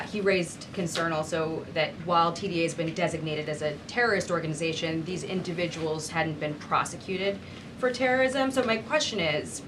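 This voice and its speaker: A woman reporter asking questions at a press briefing, recorded amid clear room noise. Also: on the low side. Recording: noisy briefing room ambience.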